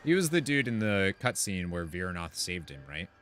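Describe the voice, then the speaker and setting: A man's voice: low-pitched, very animated, normal speed, fairly bright tone, slight background noise. A man giving casual commentary while playing a fantasy game and reading quest text aloud. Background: game music.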